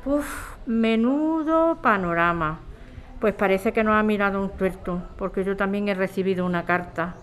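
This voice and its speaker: A woman plays a bar keeper in a radio drama. She is chatty, concerned and motherly, exclaiming in everyday phrases in a mid-pitched voice. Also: moderate pace, a little hiss or hum, close to an everyday voice. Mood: dismayed. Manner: colloquial, exclaiming.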